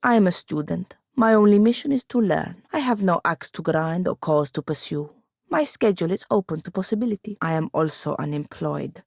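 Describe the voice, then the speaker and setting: A young sorceress's apprentice from a fantasy video game, speaks low and with a gravelly edge. She is ambitious and sharp-tongued, choosing her words with dry, haughty precision. The recording is close and very dry. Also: animated, brisk, no room sound. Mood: dry, frank. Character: sardonic, ambitious young female wizard apprentice. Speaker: video game companion character. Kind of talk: scripted fantasy game dialogue.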